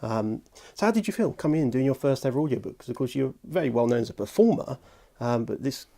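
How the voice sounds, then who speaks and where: A man's voice: mid-range voice, animated, fast, creaky, mellow. A man hosting a chatty, jokey interview with enthusiastic banter. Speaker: podcast presenter interviewing a guest. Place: audio studio. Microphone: lapel mic, later ambient room mic.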